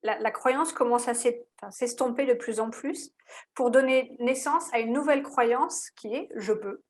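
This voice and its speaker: A woman hosts a relaxed interview and explains her ideas thoughtfully, with a few hesitations. Her voice sits a little high. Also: moderate pace. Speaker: host. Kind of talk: casual interview.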